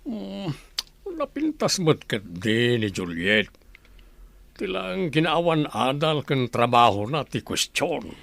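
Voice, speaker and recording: A man's voice: medium pitch, very animated, slow, gravelly, fairly bright tone, dry recording, full character voice. A man acting a middle-aged village father and mildly teasing husband in a radio drama. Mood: teasing, reasoning.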